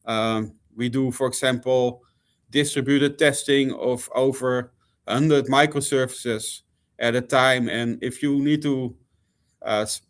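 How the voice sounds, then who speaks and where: A man's voice: medium pitch, fairly even intonation, takes it slowly. A middle-aged man from the Netherlands with a Dutch accent, giving a conference presentation with many fillers and restarts. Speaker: conference speaker. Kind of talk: presentation talk.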